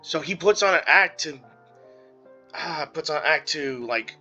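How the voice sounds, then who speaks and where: Male gaming host: bright, forceful tone, mid pitch, casual halting commentary over faint game music. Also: expressive, muffled recording. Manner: halting, thinking aloud. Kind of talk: casual let's-play commentary.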